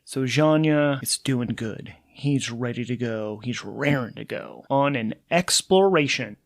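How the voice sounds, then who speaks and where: A man's voice: medium pitch, no room sound, clean studio sound. A man hosting and narrating a solo tabletop role-playing game in an informal way, as game master and player. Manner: informal, thinking aloud.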